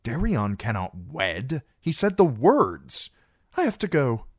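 A man's voice: medium pitch, wildly swooping pitch, slow, breathy edge, dry recording, clean studio sound, voice pushed up, full character voice. A man voicing an anxious, timid young man in an audiobook, earnest and flustered as he pleads. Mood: worried. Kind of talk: audiobook character voice.

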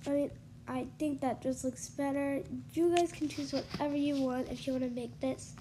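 A woman's voice: high-pitched, fairly even intonation, moderate pace, warm tone, a little hiss or hum. A young woman with a congested, stuffy voice, chatting casually through a tour of a house she built in a game, full of ums and likes. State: congested from allergies. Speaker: gaming YouTuber.

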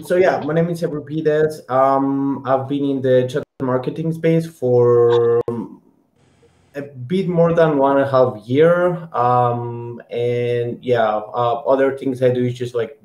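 A man in his thirties talks slowly and haltingly, leaning on fillers. His headset sound is roomy and a bit dull. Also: medium pitch, a little mellow. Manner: slow, hesitant, many fillers. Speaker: panelist.

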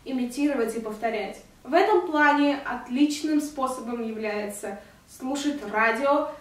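A young woman from Russia talks to the camera in an earnest, explanatory way. Her voice sits high, and the room rings with a strong echo. She sounds a step back from the mic. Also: normal speed, recorded from a distance. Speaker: vlogger. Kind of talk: casual to-camera talk. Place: indoor room at home.

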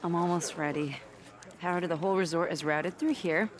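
A woman acting a capable engineer in a video game survivor camp, talking in a casual, brisk and playfully bossy way. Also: on the low side, fairly even intonation, a crowd in the background, lightly acted. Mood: breezy. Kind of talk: naturalistic game dialogue.

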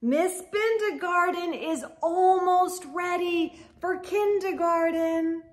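Middle aged lady doing kids storytime, high voice, super slow n sing-song, really animated delivery. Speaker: storytime host reading picture books to children. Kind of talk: expressive children's read-aloud. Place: indoor room lined with bookshelves.

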